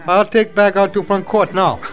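An actor playing a flustered, eager hotel servant in halting, broken English in an old radio drama. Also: male voice, high voice, flat delivery, fairly bright tone, highs cut off. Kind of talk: 1960 radio drama acting. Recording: vintage radio broadcast.

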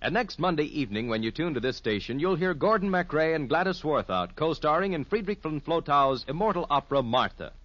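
A man's voice: fairly high pitch, very animated, a little fast, hardly any pauses, fairly bright tone, no room sound. An American man reading a scripted 1950s radio promo as a formal, polished network announcer. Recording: dull, dry old radio recording.

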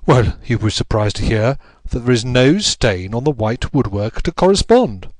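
A man's voice: medium pitch, lively intonation, normal speed, darkish tone, no echo, studio quality, lightly acted. A man voicing a self-important, bullying police inspector in an audiobook, taunting and pleased with himself. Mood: gleeful, teasing. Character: smug, bullying male police inspector, gloating. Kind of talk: audiobook character voice.

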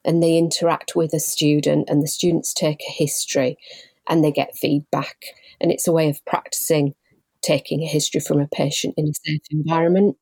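A woman in her forties from Leeds, a guest telling her story in a measured, thoughtful way with frequent pauses. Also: low-pitched, flat delivery, vocal fry, a little mellow, slightly rough, dry recording. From Leeds, England. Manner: measured and thoughtful, pausing often. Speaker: guest, patient involvement manager. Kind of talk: reflective personal storytelling.

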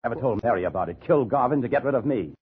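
A man's voice: mid-range voice, animated, racing, breathy edge. An actor playing a bitter, driven framed man in a 1950s radio thriller, speaking in a terse, brooding, intense way. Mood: angry, accusing. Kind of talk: 1950s radio thriller acting and first-person narration. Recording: muffled vintage radio, music behind.

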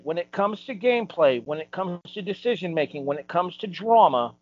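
Male podcast panelist, slightly high voice, emphatic arguments, dry and dull lo-fi sound. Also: dry recording. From United States. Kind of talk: opinionated panel discussion.

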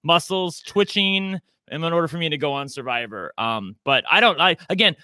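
A man's voice: a little high, lively intonation, normal speed, sharp tone, no room sound. A man in his forties co-hosting a podcast, talking in a chatty, campy, self-deprecating way. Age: 40s. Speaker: podcast co-host. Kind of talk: casual chat.

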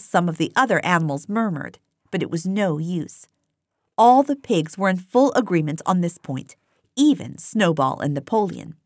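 A woman's voice: medium pitch, no room sound, studio quality. A woman reading literary prose as scripted audiobook narration.

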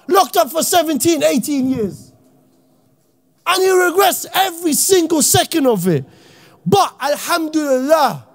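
A man from Iraq, giving an impassioned, slang-heavy talk to young people as an Islamic speaker and often shouting. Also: extremely high pitch. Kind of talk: impassioned motivational talk to youth.

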